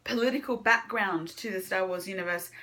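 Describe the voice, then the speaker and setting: A woman's voice: medium pitch, brisk, pushed and bright. Woman, casual book vlogger, enthusiastic, hesitant phrasing, loose rambling delivery, conversational. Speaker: book reviewer on own video channel. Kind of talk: casual chat to camera, monthly reading wrap-up.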